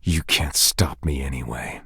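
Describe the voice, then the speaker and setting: A gruff, world-weary everyman from a video game, speaks very low with a heavy rasp. He is blunt, sardonic and brooding, and the sound is dry and close. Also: a little slow, fairly bright tone, dry recording, heavily acted. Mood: brooding, deadpan. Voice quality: low, husky. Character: jaded, brooding, foul-mouthed ordinary man, the candelabra's gruff alter ego. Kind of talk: video game character acting.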